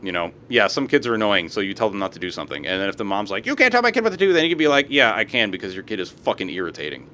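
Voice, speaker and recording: A man's voice: fairly low pitch, big pitch swings, very fast, hardly any pauses, sharp tone, slight background noise. A young man streaming live, reading posts aloud and ranting about them with plenty of swearing. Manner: profane, ranting, reads posts aloud with asides.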